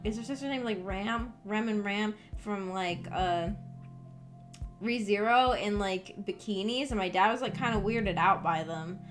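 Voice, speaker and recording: A woman's voice: mid-range voice, measured pace. A young woman chatting casually as a VTuber on a livestream, rambling in a giggly, hesitant way. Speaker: VTuber streamer. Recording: background music behind voice. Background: music.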